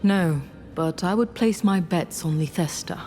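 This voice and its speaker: Actress as low-voiced solemn heroine. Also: female voice, warm tone, close to an everyday voice. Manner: measured, serious. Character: solemn gauntlet-wielding heroine, earnest and steady. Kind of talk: fantasy game voice acting. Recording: music behind.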